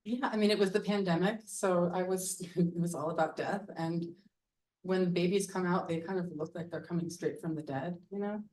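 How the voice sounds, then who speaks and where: Woman, low register, artist hosting a live talk; halting, upbeat; off-mic sound. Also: steady tone. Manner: hesitant, many ums, warm and enthusiastic. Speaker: artist leading a public talk. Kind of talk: casual public conversation. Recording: voice heard from far off the mic.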